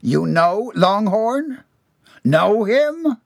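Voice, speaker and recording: A man acts out a stern old shipping boss for an audiobook. He is forceful and accusing, with a bellowing streak and a voice pushed up from a normal male pitch. The sound is close and dry. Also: expressive, normal speed, vocal fry, no room sound, exaggerated voice. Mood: furious. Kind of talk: dramatised audiobook dialogue.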